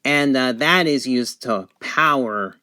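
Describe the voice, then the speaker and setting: Guy doing a product review, really slow, mid pitched voice, halting w lots of pauses. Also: bright, forceful tone. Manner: halting, pausing between short phrases. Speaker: gadget reviewer. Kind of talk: unscripted product review, describing features on camera.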